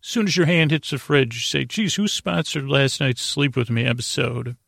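A man hosting a bedtime podcast in a rambling, meandering monologue, often circling back and losing his thread, with a creaky voice. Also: mid-range voice, animated, normal speed, fairly bright tone, dry recording, clean studio sound. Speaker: podcast host.

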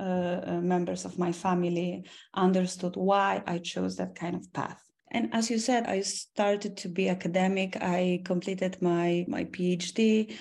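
A woman in her forties from Poland, an academic answering interview questions in a measured way, with frequent restarts and fillers. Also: fairly low pitch, gravelly, darkish tone. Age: 40s. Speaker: academic and foundation president.

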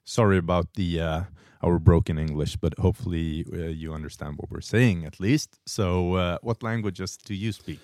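A man's voice: low-pitched, steady tone, normal speed, few pauses, darkish tone, no echo, studio quality. A man with a Swedish accent hosting a casual interview, asking easygoing questions padded with fillers. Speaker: podcast host.

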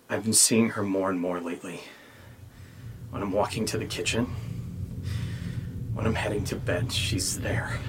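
A man's voice: fairly low pitch, slow, pauses often. A man playing a joking, skeptical friend with a sarcastic, teasing manner in a casual audio drama. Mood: mock-creepy hushed. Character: joking, skeptical buddy visiting his friend. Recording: faint background noise.

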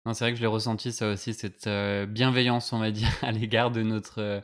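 A man's voice: fairly low pitch, flat delivery, brisk. A young man from France chatting casually about his student exchange, hesitating often with fillers and restarts. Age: young adult. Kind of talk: casual podcast conversation.

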